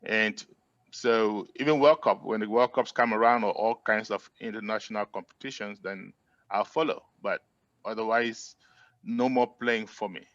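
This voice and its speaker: A middle-aged man from Cameroon, a guest in a casual interview who tells his story in a friendly, relaxed way with frequent "uh" fillers. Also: lowish voice, moderate pace, no echo, muffled recording.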